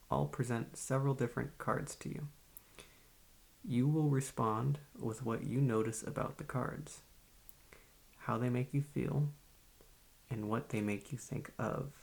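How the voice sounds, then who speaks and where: A man as a slow, gravelly, soft-spoken psychiatrist. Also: medium pitch. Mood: clinical, probing. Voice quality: creaky, husky. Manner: measured, polite, clinical, soft-spoken. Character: calm, formal, probing male psychiatrist interviewing a young patient. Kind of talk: ASMR roleplay, soft-spoken scripted drama.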